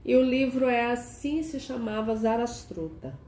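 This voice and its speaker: A woman hosting a casual video chat. She sounds nasal and hoarse from a cold and keeps asking the viewer questions. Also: a little high, animated, a little slow, dark tone. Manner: chatty, asks the viewer many questions. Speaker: archetype consultant and channel host. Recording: echoey room with faint noise.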